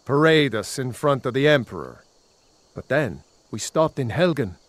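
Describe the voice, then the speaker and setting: A man's voice: mid-range voice, animated, normal speed, natural voice. Earnest rebel Nord soldier played by a man. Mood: grim, weary. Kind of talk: video game dialogue acting.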